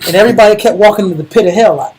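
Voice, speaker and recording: A man with an American accent and a husky voice, teaching religion in a colloquial, emphatic way, repeating phrases for emphasis. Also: high voice, racing, a little mellow, poor audio. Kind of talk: informal sermon discussion.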